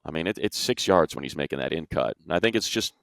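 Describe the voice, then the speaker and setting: A man's voice: a bass voice, lively intonation, fast talker, vocal fry, fairly bright tone, dry recording, studio quality. A man giving casual sports analysis as a show panelist, conversational and emphatic.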